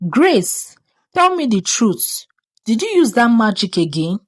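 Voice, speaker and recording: A woman's voice: on the high side, sing-song, unhurried. A woman voicing a loyal schoolgirl in a folktale, earnest and pleading with concern. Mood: stern, worried. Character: earnest, loyal schoolgirl worried for her friend.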